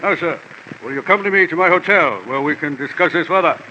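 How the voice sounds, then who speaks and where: An actor playing a pompous, over-polite and menacing fat crime boss in an old radio drama. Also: male voice, a little high, quick pace, bright, forceful tone. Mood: commanding. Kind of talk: 1950s radio drama acting. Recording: muffled, hissy old radio recording.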